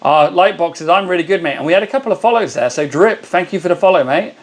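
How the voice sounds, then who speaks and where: Hoarse man hosting a live stream. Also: sits high, fast. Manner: chatty, greets viewers by name. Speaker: livestream host. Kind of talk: casual live-stream chat.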